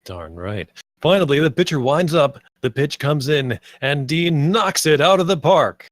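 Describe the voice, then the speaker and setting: A man's voice: a little high, expressive, unhurried. A man reading stage directions as the narrator at a screenplay table read.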